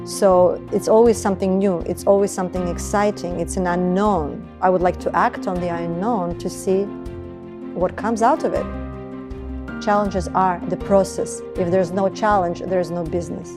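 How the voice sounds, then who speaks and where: A woman's voice: fairly low pitch, fairly even intonation, moderate pace, music in the background. A woman, speaking earnestly and reflectively as a business founder in a documentary interview. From Estonia. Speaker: entrepreneur, beauty business founder.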